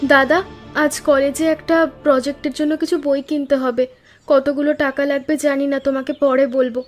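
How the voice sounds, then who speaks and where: A woman voicing an earnest, concerned young student sister in an animated story. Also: high-pitched, normal speed, soft background music, natural voice. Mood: grateful, earnest. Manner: earnest, pleading.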